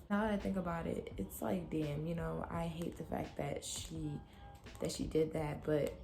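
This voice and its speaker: A young woman with a low, deep speaking voice, a touch raspy after waking up cold. She talks candidly and emotionally straight to her viewers from her room, recorded on a simple camera mic. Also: darkish tone. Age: young adult. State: raspy throat from a cold night. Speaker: vlogger. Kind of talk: personal reflective vlog.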